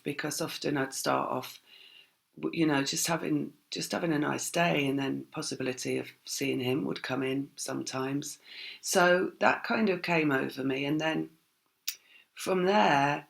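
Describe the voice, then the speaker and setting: A woman giving a casual spiritual tarot reading, her voice croaky, talking in a rambling, reflective way with many fillers. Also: deep voice, a little slow. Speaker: tarot reader.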